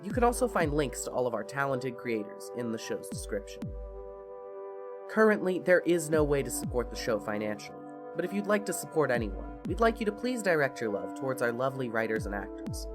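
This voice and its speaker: An expressive narrator reads scripted fiction for a podcast in a measured storytelling manner. Faint music plays behind the voice. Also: mid-range voice.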